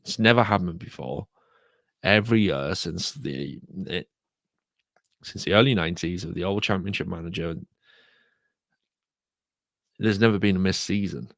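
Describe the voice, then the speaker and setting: A British man hosting a solo podcast, talking casually and repeating himself, croaky from a sore throat. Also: sits low, normal speed, long pauses, a bit bright, no room sound. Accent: British English. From United Kingdom. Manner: rambling, repeats words. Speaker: podcast host.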